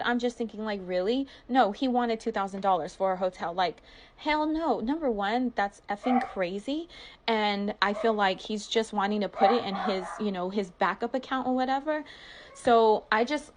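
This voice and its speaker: A woman's voice: on the high side, a little hiss or hum. A woman telling a personal story in a casual, confessional way, colloquial and dramatic, acting out the dialogue as she goes.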